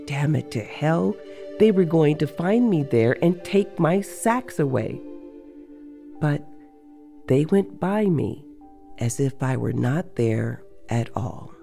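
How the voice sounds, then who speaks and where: Gravelly, excitable sorcerer telling his tale, as a narrator reads him. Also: mid-range voice, takes it slowly. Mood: uneasy, vivid. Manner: dramatic, earthy storytelling. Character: excitable male sorcerer recounting a strange dream. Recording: faint music behind.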